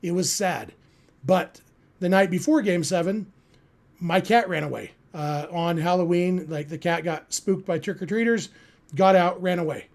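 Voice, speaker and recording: Sports podcast host: American man, notably high-pitched voice, earnest, reflective, conversational live delivery. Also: moderate pace, creaky. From California, United States. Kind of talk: casual live sports talk, answering listener chat.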